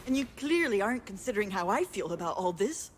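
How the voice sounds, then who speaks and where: A woman's voice: medium pitch, sing-song, quick pace, a little hiss or hum, lightly acted. A woman playing a blunt, tough, hot-tempered sister in a visual novel, speaking in a direct, sardonic, confrontational way. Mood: upset, confrontational. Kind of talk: visual novel voice acting, dramatic dialogue.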